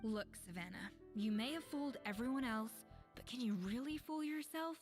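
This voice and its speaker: Principled head chef played by a woman, high voice. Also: animated, moderate pace, faint music behind, lightly acted. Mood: stern, sincere. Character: proud, principled young female head chef, composed but weary. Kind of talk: anime-style video game voice acting.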